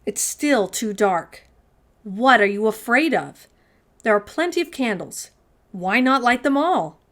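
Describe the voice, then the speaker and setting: Lady doing a cheeky brave storybook guy, slow-paced, taunting, acting totally unbothered. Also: fairly high pitch, lively intonation, fairly bright tone. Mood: teasing, bold. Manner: feigned nonchalance, taunting.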